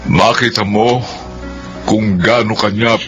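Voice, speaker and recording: An actor playing a consoling, reassuring uncle with a gravelly voice in a radio drama. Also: male voice, lowish voice, steady tone, takes it slowly, a bit bright. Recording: music playing behind voice.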